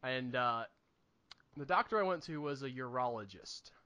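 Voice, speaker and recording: A man co-hosting a podcast in casual comedic chat, telling stories in an emphatic, animated way with repetition for effect. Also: mid-range voice, normal speed. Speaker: podcast co-host.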